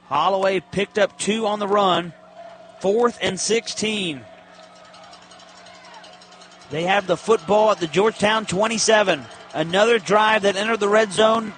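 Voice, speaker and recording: A man's voice: sits high, measured pace, pauses often, a bit bright, soft background music. A man calling college football on the radio as a play-by-play announcer, in short, clipped present-tense bursts.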